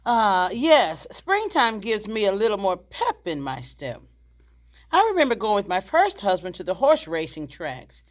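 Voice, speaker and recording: A woman's voice: medium pitch, big pitch swings, measured pace. A woman reading the part of a genteel, chatty, gracious older widowed hostess in a stage play. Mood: wistful, gracious. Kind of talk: stage play table read.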